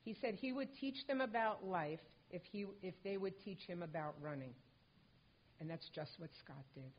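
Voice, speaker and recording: Older woman, low voice, measured reading at a lectern, dull lo-fi sound. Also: slightly rough. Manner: measured, composed delivery. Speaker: mother accepting a hall of fame honor for her late son. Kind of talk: reading a prepared tribute speech. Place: stage with a lectern in a large indoor hall. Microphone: lectern microphone. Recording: dull lo-fi hall recording.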